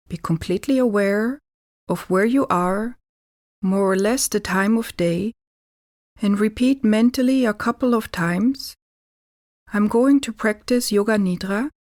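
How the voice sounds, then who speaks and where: A woman leads a guided meditation at a very unhurried pace. Her mid-pitched voice is calm and deliberate, leaving pauses between gentle instructions. The sound is close and dry, with no room echo. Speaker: yoga nidra meditation teacher.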